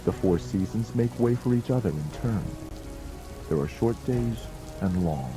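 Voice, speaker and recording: A man reads as an audiobook narrator in a measured, solemn way, phrase by phrase, in a dramatized scripted style. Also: on the low side, expressive. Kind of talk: dramatized scripted narration of an ancient military treatise. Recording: music and rain behind the voice. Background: background music with falling rain.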